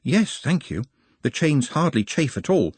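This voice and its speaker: Male narrator as a weary goblin-like creature, meek, formal, patient, pitched up, husky, audiobook character. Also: very animated, a little slow, darkish tone, no room sound, full character voice. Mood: polite, docile. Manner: formal, precise, patient speech.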